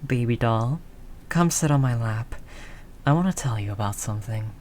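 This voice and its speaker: A man's voice: mid-range voice, lively intonation, moderate pace, a little mellow, slight background noise, close to an everyday voice. A man playing a world-weary, doting mafia boss in an intimate roleplay, talking in teasing street slang with pet names. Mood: musing, assured. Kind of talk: intimate scripted roleplay audio drama monologue.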